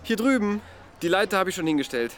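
An actor playing an eager, excited street café owner with an enthusiastic manner in a radio play. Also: male voice, sits high, fast. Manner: animated, enthusiastic. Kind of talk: naturalistic radio play dialogue. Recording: noisy outdoor street ambience.

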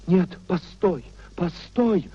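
An actor playing an anguished, idealistic young husband in a 1950s Russian radio play, pleading and declaiming theatrically. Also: male voice, high voice, expressive, slow, slightly rough, highs cut off, exaggerated voice. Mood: earnest pleading. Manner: theatrical, declamatory, repeating phrases emotionally.

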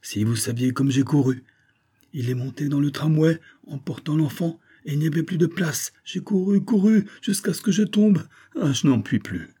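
A man voicing a plucky young woman who is out of breath, speaking high and urgent in a storybook reading. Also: lively intonation, normal speed, warm tone, slightly rough. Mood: urgent, breathless. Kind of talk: audiobook character voice.

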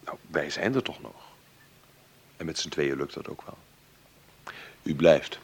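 An actor playing a wry, protective grown son in mourning in a radio drama, gruffly affectionate in manner. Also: male voice, very deep voice, a little slow, heavily acted. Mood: gently insistent. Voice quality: gravelly, husky. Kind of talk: naturalistic radio drama dialogue.

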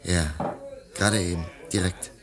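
A man in a radio play, playing a nostalgic, idealistic artist who speaks in a halting, rhapsodic and ponderous way. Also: low-pitched, fairly even intonation, a crowd in the background. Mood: reverent. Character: nostalgic, idealistic male artist, reverent and world-weary. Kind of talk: German radio play acting.

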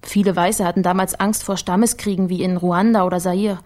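An actress playing a shrewd, confident computer researcher in a German radio crime drama, speaking matter-of-factly. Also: female voice, fairly low pitch, steady tone, a little fast, darkish tone, lightly acted. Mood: cool, explanatory.

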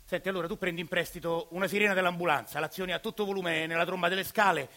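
Brief: down-on-his-luck Roman dad, played by a male actor, quiet and urgent. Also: sits high, fairly even intonation, very fast. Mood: conspiratorial. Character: desperate scheming Roman father.

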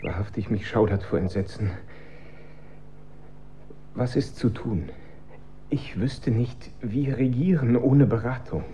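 Radio play role: anxious, deferential young emperor played by a man, mid-pitched, formal and hesitant, over noticeable noise. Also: slow, pauses often, mellow, close to an everyday voice. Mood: fearful, pleading. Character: timid, dreamy young emperor deferring to his mother. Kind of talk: German radio drama acting. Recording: dull recording with clear noise.

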